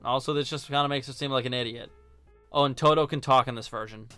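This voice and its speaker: A man's voice: medium pitch, quick pace, bright, forceful tone, clear, resonant tone, dry recording. A man narrating a casual, opinionated film review as host, blunt and sarcastic, swearing now and then. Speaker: host, video essayist. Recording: music behind the voice. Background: music.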